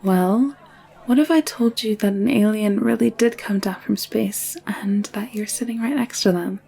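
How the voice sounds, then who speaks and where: Protective young heroine for audio drama, played by a woman: coaxing, assured, medium pitch with noticeable creak. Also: normal speed, mellow. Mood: earnest, determined. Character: warm, headstrong, protective young woman, alien-world traveler. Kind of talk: scripted audio roleplay drama.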